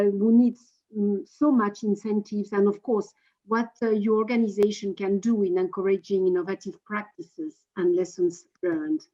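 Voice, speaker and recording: A woman's voice: medium pitch, darkish tone, dull audio. A woman moderating an online panel over a video call. She introduces the speakers hesitantly, with many fillers.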